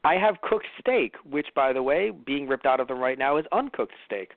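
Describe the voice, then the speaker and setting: A man from New York with lively, swooping intonation chats as a review show co-host. He rambles comically, plays up his complaints and slips into mock quotes. The sound is dry and close. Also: mid-range voice, normal speed, no room sound, phone-quality sound. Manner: rambling, jokingly whiny, acts out mock quotes. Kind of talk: casual comic banter and film review.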